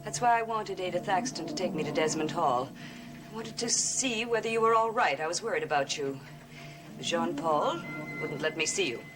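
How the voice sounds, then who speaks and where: An actress playing a poised, secretive woman who probes with smooth, coaxing concern, in theatrical 1970s gothic television soap style. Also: fairly low pitch, lively intonation, moderate pace, music in the background, natural voice, low-quality recording.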